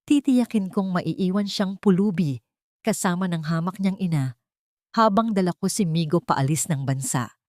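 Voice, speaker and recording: A woman's voice: lowish voice, normal speed, darkish tone, no echo, studio quality, lightly acted. A woman voicing a scheming, two-faced wealthy wife in an audio drama, mixing English and Tagalog. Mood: cold, menacing. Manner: code-switches between English and Tagalog.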